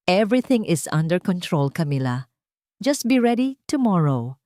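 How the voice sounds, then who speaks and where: A woman's voice: on the low side, very animated, normal speed, warm tone, no room sound, clean studio sound. A woman voicing an ambitious, secretive male executive in a narrated love drama, controlled and self-important. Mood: smug, controlled.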